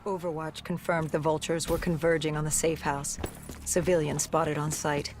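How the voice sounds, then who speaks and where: An actress playing a battle-hardened, haunted female soldier in a video game, narrating in a grave, terse, measured way. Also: deep voice, little pitch movement, music in the background, natural voice. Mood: grim, hardened. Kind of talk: dramatic scripted game narration.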